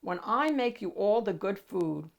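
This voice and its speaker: A woman voicing a reassuring, easygoing old grandfather in a picture book, speaking with unhurried emphasis. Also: medium pitch, lively intonation, vocal fry.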